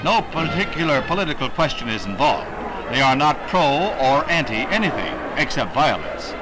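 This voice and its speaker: A vintage 1960s newsreel soundtrack with loud music under an American man's voice. He is a fairly high-pitched announcer who reads scripted narration formally and with drama. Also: sing-song, moderate pace, rough recording.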